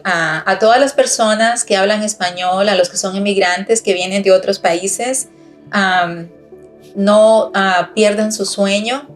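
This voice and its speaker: A woman's voice: mid-range voice, steady tone, moderate pace, soft background music. A middle-aged woman from Costa Rica with a Costa Rican accent, giving an earnest, encouraging personal testimony over a video call. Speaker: guest speaker.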